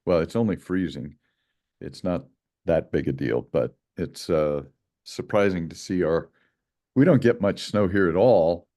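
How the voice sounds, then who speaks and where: A man hosting a casual video call meeting, relaxed and chatty with many fillers. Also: low-pitched, a little slow. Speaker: meeting host, project lead.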